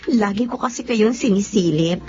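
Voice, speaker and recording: A woman's voice: medium pitch, moderate pace, darkish tone, lightly acted. A woman playing a curious, coy young sister in a radio drama, speaking with hesitation. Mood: coy, hesitant. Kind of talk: Tagalog radio drama acting. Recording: dull radio audio with music.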